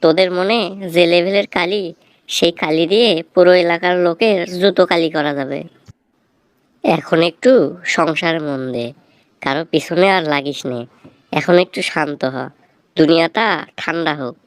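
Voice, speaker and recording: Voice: mid-range voice, moderate pace, pauses often, smooth, no echo, lightly acted. An actor voicing a quarrelsome, indignant village husband in a comic cartoon, scolding theatrically in a rural Bangladeshi Bengali accent. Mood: exasperated, preaching. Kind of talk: comic cartoon dialogue, exaggerated bickering.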